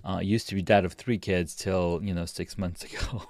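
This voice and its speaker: A man in his forties from Pakistan, a podcast guest, telling his story in a relaxed way with many fillers and restarts. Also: sits low, normal speed, no room sound. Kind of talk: casual interview talk.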